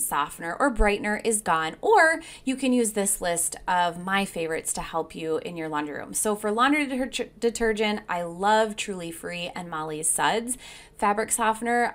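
Woman narrating a home wellness explainer. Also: mid-range voice. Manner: steady, informative, recommends products she loves. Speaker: home wellness video host. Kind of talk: scripted voice-over explainer. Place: not seen, voice-over over slides.

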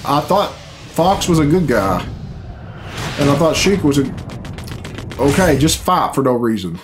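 A man's voice: on the high side, big pitch swings, measured pace. A man in his thirties giving casual, profane and sardonic reaction commentary in a small room. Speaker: reaction video host. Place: small bedroom, indoors. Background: video game cutscene music.

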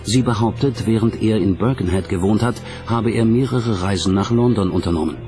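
A man's voice: lowish voice, fairly quick, dark tone, soft background music. A man reading scripted narration as the narrator of a documentary.

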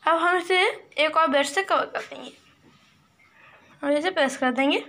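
A woman talks through a recipe in a high, bright voice. She explains each step at a steady pace like a home cooking host. A faint hiss sits under her voice. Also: normal speed. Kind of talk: voice-over recipe instructions.

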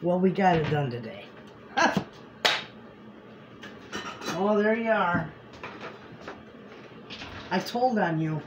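A middle-aged woman talks slowly and homily to the camera while she works in her home kitchen, laughing easily. She's picked up by a camera across the room. The audio carries a noticeable hiss. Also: lowish voice, big pitch swings, dull audio. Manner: chatty, homey, laughs easily. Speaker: homestead cooking vlogger. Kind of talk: casual kitchen chat to camera.

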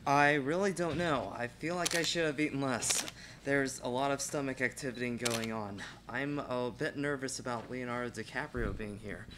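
A man's voice: medium pitch, normal speed, noisy background, lightly acted. A young man acting a nervous, modest film star being interviewed in live radio theatre. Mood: nervous, polite. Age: young adult. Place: college classroom stage, indoors. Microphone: stand microphone.

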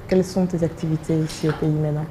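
Mellow-voiced young woman hosting. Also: low voice, level tone, quick pace, slight background noise, low-quality recording. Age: young adult. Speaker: TV talk show host. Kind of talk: interview questions and introductions. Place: TV studio.

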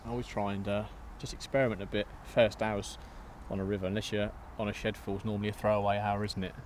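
Fast-talking angler, low voice. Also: male voice, flat delivery. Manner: quick, practical explaining. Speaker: match angler guest. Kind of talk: casual explanation while fishing. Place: outdoors on a riverbank. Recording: outdoor recording, faint noise.

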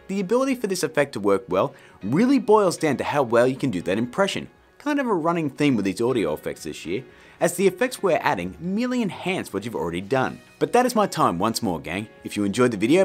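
A man in his thirties presenting an informal video tutorial to camera in an animated, jokey manner. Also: mid-range voice, brisk, faint music behind. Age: 30s. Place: small indoor room with shelves.

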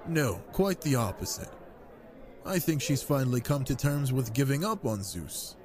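Casting: male actor as a charming god of wine and revels, very animated mid-range voice, playful and seductive, audio roleplay. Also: mid-range voice, moderate pace, crowd noise, lightly acted. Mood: gossipy, amused.

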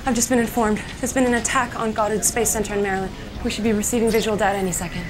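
Woman acting as a rapid-fire military aide. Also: mid-range voice, fairly even intonation, noisy background, natural voice. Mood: urgent, alarmed. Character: urgent female military aide interrupting her general. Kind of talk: dramatic film acting.

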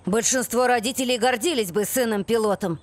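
A woman's voice: on the high side, fairly quick, natural voice. A woman voicing an earnest, headstrong teenage boy pilot in a cartoon dub. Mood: pleading. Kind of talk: animated series dub.

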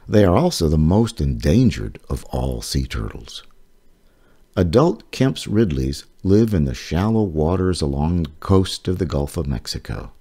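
A man with a husky voice reading a children's nonfiction book aloud in a measured, explanatory way. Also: sits low, mellow.

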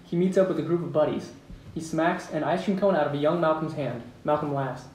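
A man's voice: fairly high pitch, a little fast, a little mellow. A man reading out stage directions as narrator at a script table read. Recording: echoey room, distant mic, background noise.

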